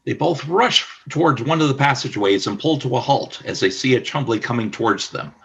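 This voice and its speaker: A man narrating a scripted radio play as announcer, in a formal, deliberate storytelling manner. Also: mid-range voice, creaky, a bit bright, muffled recording, slight background noise.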